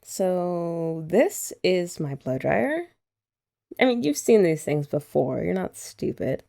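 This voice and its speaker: A woman's voice: lowish voice, lively intonation, slow pace, vocal fry, dark tone. A young woman chatting playfully to a partner in an ASMR roleplay, teasing and laughing often. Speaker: ASMR creator. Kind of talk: scripted ASMR roleplay as a girlfriend.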